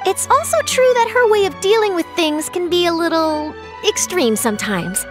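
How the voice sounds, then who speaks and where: A woman's voice: very high-pitched, expressive, moderate pace, soft background music. An actress playing an earnest, principled young woman reporter in a video game, articulate and eager in her delivery. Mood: earnest, concerned.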